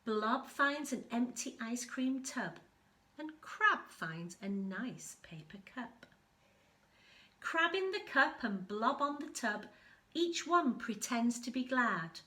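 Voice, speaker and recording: Infant school lady reading a picture book, slow pace, voice goes up and down loads, explains bits. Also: a little high. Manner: expressive, explaining to young children. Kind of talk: children's picture book read-aloud with explanations.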